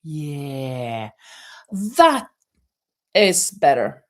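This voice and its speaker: A woman's voice: extremely low pitch, big pitch swings, extremely slow. A middle-aged woman hosting a casual live crochet chat, laughing often and reading viewer comments aloud. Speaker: livestream host. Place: home in Spain.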